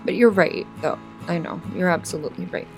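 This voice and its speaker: A woman playing a fragile, heartbroken woman after a breakup in an audio roleplay, halting and stammering as she doubts herself. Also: fairly low pitch, music in the background, lightly acted, rough recording. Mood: fragile, overwhelmed. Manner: halting, stammering, rambling, sighing. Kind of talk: emotional audio roleplay acting.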